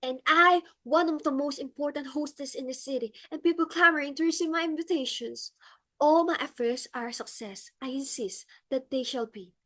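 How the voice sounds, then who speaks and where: A woman's voice: sits high, normal speed, a bit bright. A woman playing an imperious middle-aged society hostess in a comic stage play, theatrical, exclamatory and easily enraged. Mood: indignant.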